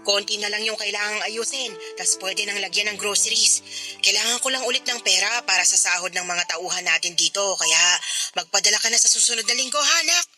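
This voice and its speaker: A woman's voice: medium pitch, brisk, bright, forceful tone, faint music behind. A woman playing a casual, expectant middle-aged mother on a phone call in a Tagalog radio drama. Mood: reassuring, expectant.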